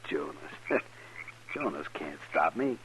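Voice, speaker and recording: Furtive Western wagon hauler, very deep, American, conspiratorial, old slightly noisy radio recording. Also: male voice, sing-song, slow pace, fairly bright tone, heavily acted. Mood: furtive, scheming. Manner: conspiratorial, folksy. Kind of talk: 1950s radio Western acting.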